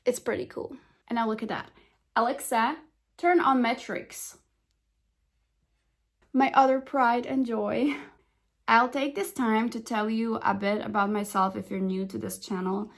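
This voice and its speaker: A woman's voice: on the high side, steady tone, a little slow, pauses often. A woman in her twenties whose first language is Slovak, chatting upbeat to her phone camera in a casual day-in-the-life vlog from her living room. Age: 20s. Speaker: vlogger and software engineer. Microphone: phone camera at arm's length.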